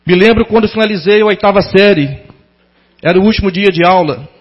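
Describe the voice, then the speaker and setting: High-voiced, husky Brazilian man giving a speech. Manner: formal, sentimental, deliberate phrasing. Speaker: former pupil giving a tribute to a teacher. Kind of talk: prepared public tribute speech. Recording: dull lo-fi sound.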